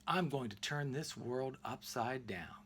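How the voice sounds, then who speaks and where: A man's voice: medium pitch, unhurried, a bit bright, a little hiss or hum. A man voicing an earnest, determined young boy in a storybook, speaking plainly and emphatically.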